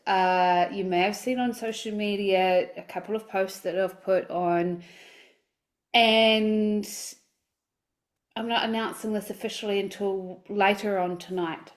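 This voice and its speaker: A woman's voice: medium pitch, fairly even intonation, unhurried. A woman in her forties, a life coach, talking casually and upbeat on a livestream, with lots of fillers and restarts. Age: 40s.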